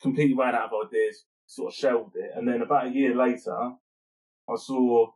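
A man's voice: medium pitch, steady tone, fairly quick, darkish tone, low-quality recording. British man chatting loosely as interview guest. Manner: loose, rambling, self-correcting explanations. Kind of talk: casual interview chat.

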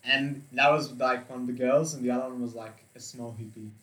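A man's voice: medium pitch, steady tone, brisk, a bit bright, distant mic. An eighteen-year-old man in casual, laid-back banter, swearing freely as he reads profiles aloud. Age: young adult. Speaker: co-host reading dating profiles. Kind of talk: casual chat. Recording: echoey room.

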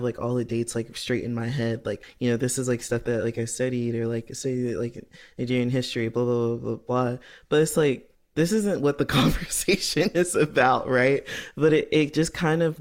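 This is a man at a typical male pitch, co-hosting a podcast. He talks through his ideas earnestly and loosely, with frequent "like" fillers and self-directed questions. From United States. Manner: earnest, rambling, heavy "like" fillers, asks himself questions. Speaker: podcast co-host. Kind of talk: casual reflective chat.

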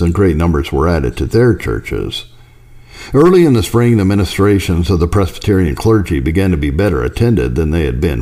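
A man reading a historical religious letter aloud in a formal, solemn, measured manner, as an audiobook narrator. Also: low voice, dark tone. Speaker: audiobook reader.

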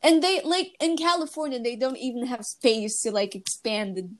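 A woman's voice: on the high side, normal speed. A teenage girl from Brazil, not a native English speaker, co-hosting a casual podcast and chatting with lots of fillers and giggles. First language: Portuguese. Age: teens. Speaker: podcast co-host. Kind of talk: casual chat.

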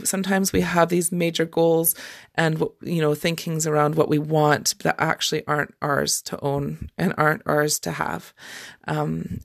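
Creaky-voiced podcast coach. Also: medium pitch. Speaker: life coach hosting a podcast. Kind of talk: informal solo podcast talk about coaching.

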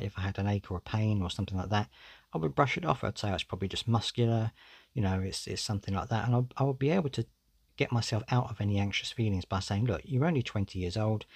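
A man's voice: fairly low pitch, husky, no room sound. A man, hosting a solo podcast in a candid, conversational way, often saying "you know". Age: 40s. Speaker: podcast host.

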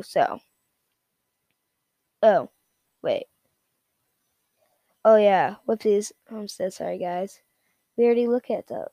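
A woman giving a casual, unscripted product rundown in a halting, repetitive, informal way. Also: mid-range voice, warm tone, no room sound. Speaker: product reviewer.

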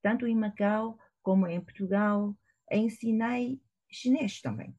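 A woman's voice: mid-range voice, unhurried, dark tone, dull audio. A middle-aged woman from China, a professor, speaking Portuguese with a Chinese accent. Her first language is Chinese, and she answers thoughtfully, with frequent hesitations. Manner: thoughtful, hesitant, with many fillers. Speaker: professor, guest. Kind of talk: interview answers. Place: at home in Shanghai, remote call.

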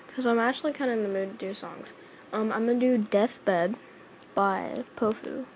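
A woman's voice: fairly high pitch, darkish tone, a little hiss or hum. A woman chatting casually and rambling between song covers, her throat sore from singing the day before. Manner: chatty, rambling, lots of fillers. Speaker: amateur cover singer.

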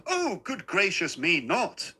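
Man voicing a polite, flustered little old gentleman, raised pitch. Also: sing-song, moderate pace, slightly rough, heavily acted. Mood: flustered, coaxing. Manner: courteous, flustered, old-fashioned pleading.

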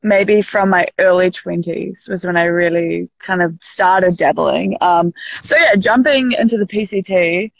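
A woman's voice: fairly low pitch, creaky, no echo, poor audio. Rambling young New Zealand woman guest. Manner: rambling, halting, laughs easily. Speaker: podcast guest. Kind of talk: casual interview. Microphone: video call.

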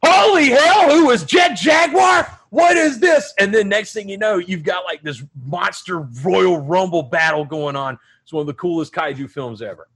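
A man hosting a casual movie chat, excitable and quick to laugh. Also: high voice, husky. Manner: excitable, laughs often, bursts into shouting. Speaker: podcast host.

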